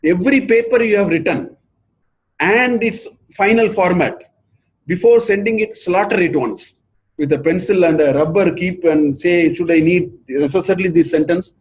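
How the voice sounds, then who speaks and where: A middle-aged Indian man lectures earnestly, his voice a little husky and fairly high. He speaks English as a second language and hammers his points home through repetition. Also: normal speed, poor audio. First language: not English. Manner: earnest, repeats points for emphasis. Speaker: professor giving a research talk.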